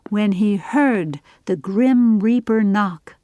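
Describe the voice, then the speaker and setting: A woman narrating a scripted story for an audiobook in a measured, literary reading style. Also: mid-range voice, warm tone, no room sound, dull audio.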